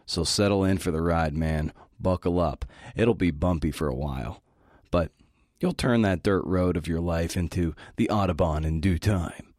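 A man's voice: low-pitched, moderate pace, a little mellow, no echo, clean studio sound. A middle-aged man hosting a podcast, giving a scripted talk with casual asides in a folksy, encouraging manner.

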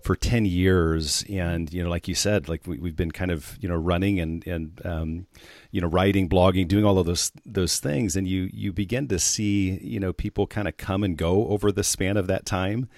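A man hosting a podcast interview, speaking earnestly and hesitantly with many pauses. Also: sits low, fairly even intonation, a little mellow, no echo, clean studio sound. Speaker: podcast host.

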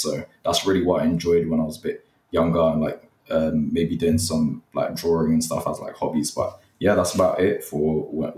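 A young man from Nepal talks casually in a very deep voice, wandering through his answers with plenty of fillers and restarts. He sits back from the microphone in a roomy, echoing space. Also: darkish tone, far from the mic. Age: young adult. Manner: rambling, many fillers, hesitant. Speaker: guest, content creator. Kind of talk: casual interview chat. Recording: echoey, from off the mic.

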